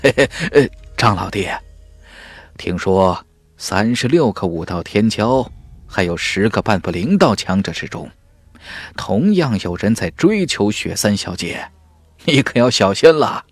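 A man voicing a teasing, worldly male warrior in a fantasy audiobook, with a slow, knowing delivery. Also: fairly low pitch, expressive, slightly rough, dry recording, full character voice. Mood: sly teasing. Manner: sly chuckles, slow weighty delivery. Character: teasing, worldly young male warrior, sworn-brother type.

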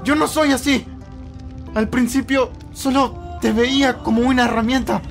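A man acts out a wounded, distrustful young anime hero with a Latin American Spanish accent. His delivery is slow and creaky, brooding and anguished, over faint music. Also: very high voice, fairly even intonation, exaggerated voice. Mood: self-loathing. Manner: brooding, bursting into anguished outcries. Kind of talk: anime fan dub acting.

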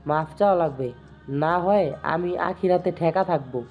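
A man's voice: on the high side, normal speed, phone-quality sound, lightly acted. A man playing a humble, grateful elderly landlord with a pleading, deferential manner. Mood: apologetic, grateful. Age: older. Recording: music bed under the voice.

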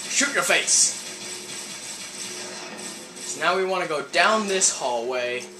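Casual gaming commentator, expressive and exclamatory, thinking aloud in a roomy space over game music. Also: medium pitch, slow. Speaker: gaming video host. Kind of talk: casual let's play commentary. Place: indoor room, playing in front of TV. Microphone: camera mic filming a TV screen. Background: video game music.

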